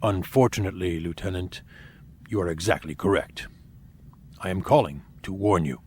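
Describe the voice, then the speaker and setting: A man playing a grave, honorable alien warrior officer in an audio drama, speaking in a formal, measured and deliberate way. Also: sits low, a little mellow, breathy edge.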